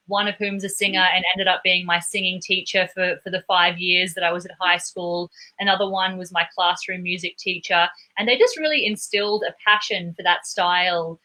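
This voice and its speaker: A woman from Australia with an Australian accent, a guest on a video-call interview, speaking in a thoughtful, measured way. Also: medium pitch, sharp tone, rough recording. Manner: thoughtful, measured, with small restarts. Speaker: guest, performance psychologist.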